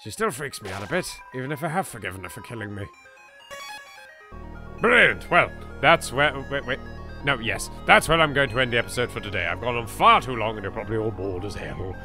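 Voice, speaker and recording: An English man hosts a let's play with dry, wry humor, his pitch swinging widely between lines. Game music plays clearly in the background. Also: lively intonation, brisk, sharp tone.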